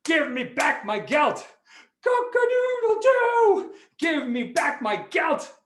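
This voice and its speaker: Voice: sits high, big pitch swings, fairly bright tone, reverberant, pitched above the performer's own voice, full character voice. Shrieking, crowing magical rooster. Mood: loud, demanding. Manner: drawn-out sing-song crowing. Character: brash magical rooster, crowing and singing demands.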